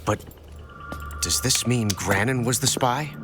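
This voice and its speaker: A man's voice: medium pitch, takes it slowly, close to an everyday voice. Actor as terse, serious military man. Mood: wary. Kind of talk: video game cutscene acting. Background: game music.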